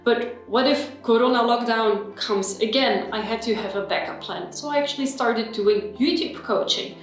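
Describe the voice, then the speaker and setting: A woman's voice: fairly high pitch, normal speed. Echoey woman vlogging. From Belgium. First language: Dutch. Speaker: YouTuber. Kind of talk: personal vlog talk to camera. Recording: very echoey room with faint music.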